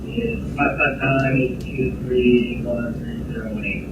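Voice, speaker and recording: A man's voice: mid-range voice, steady tone, slow, very noisy. A man phoning as a customer, talking informally and a bit unsure, in a rambling casual way. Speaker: car-buying customer calling in. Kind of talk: casual phone chat. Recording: muffled, echoey recorded phone call.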